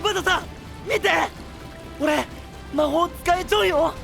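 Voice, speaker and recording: An actor playing an earnest, hot-blooded young magic user in an anime voice drama. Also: male voice, very high-pitched, unhurried, creaky, a bit bright, soft background music, heavily acted. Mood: excited, urgent.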